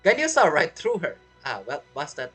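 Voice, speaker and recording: Streamer woman, casual game reactions; fairly low, very lively, laughs a lot. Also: moderate pace, breathy edge, faint music behind. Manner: laughs often, chatty, broken English.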